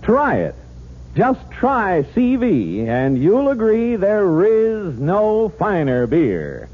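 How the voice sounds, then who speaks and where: A male announcer from vintage radio, reading ad copy slowly. His voice is highish and animated, with a husky grain, on a tinny, narrow recording. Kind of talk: 1940s radio ad read. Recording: tinny old radio broadcast.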